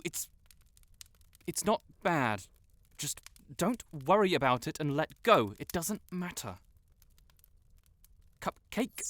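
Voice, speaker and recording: A man's voice: high-pitched, no echo. A man playing a proud, lovestruck wizard in a romantic audio roleplay, haughty but stammering, blurting things out and backtracking. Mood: nervous, evasive. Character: proud, flustered tsundere wizard secretly in love.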